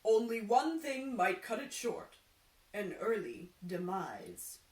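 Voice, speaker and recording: A woman voicing a sly old male fortune teller, making grand, drawn-out pronouncements in a storybook reading. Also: medium pitch, very animated, darkish tone. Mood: solemn. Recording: roomy echo, distant microphone.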